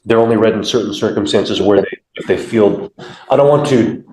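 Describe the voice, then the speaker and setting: A man's voice: lowish voice, brisk, darkish tone, a little hiss or hum. An American man speaking as a prosecutor in formal courtroom argument, choosing careful procedural wording. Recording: echoey courtroom, far from microphone.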